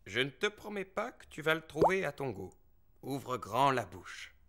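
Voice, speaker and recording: A man's voice: mid-range voice, sing-song, unhurried, sharp tone, heavily acted. A man playing a reassuring bear family doctor in a French preschool cartoon. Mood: reassuring, businesslike.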